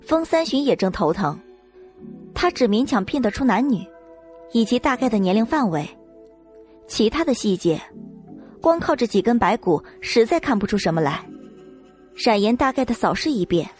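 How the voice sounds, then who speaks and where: A woman's voice: fairly high pitch, big pitch swings, moderate pace, pauses often, darkish tone, faint music behind. A woman narrating a scripted novel as an audiobook reader.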